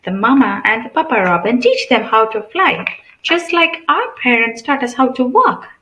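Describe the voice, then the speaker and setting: A woman with a fairly high, very animated voice reads a picture book to young children. She speaks like a teacher, pausing to put simple questions and explain things. The recording is noticeably roomy and echoey. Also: a little hiss or hum. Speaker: read-aloud channel host.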